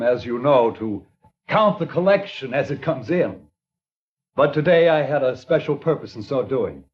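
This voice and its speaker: A man's voice: a little high, natural voice. A man playing a solemn small-town preacher. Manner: measured, solemn reading of scripture. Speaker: reverend. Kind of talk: 1950s radio drama acting. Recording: dull old radio transcription.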